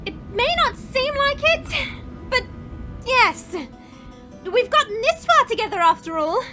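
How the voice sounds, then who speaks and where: A woman's voice: very high-pitched, big pitch swings, unhurried, pushed and bright, soft background music, heavily acted. A woman playing a patient, dignified dragon princess in a fantasy audio drama, speaking in a poised, formal way.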